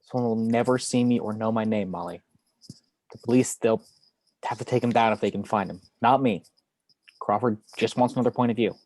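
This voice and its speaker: A man playing a weary, reluctant former crime investigator in an understated, measured script read. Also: fairly low pitch, a little mellow, no room sound, lightly acted. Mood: reassuring. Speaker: actor in a table read.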